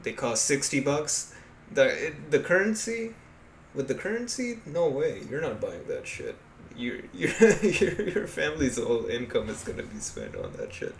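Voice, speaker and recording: A man's voice: medium pitch, wildly swooping pitch, normal speed, vocal fry. A man from Pakistan co-hosting a casual podcast, explaining things in a blunt way with plenty of swearing. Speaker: podcast co-host. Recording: echoey room with background noise.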